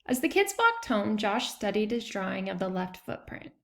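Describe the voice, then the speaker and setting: A woman reading a children's chapter book aloud in an expressive storytelling style. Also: medium pitch, normal speed, creaky. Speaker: read-aloud narrator.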